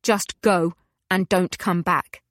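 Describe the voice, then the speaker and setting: A woman's voice: medium pitch, moderate pace, no echo, lightly acted. A stern, guarded Englishwoman, acted by a female narrator in an audiobook. She speaks firmly and in clipped phrases. The recording is close and dry. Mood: fierce. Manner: firm, clipped.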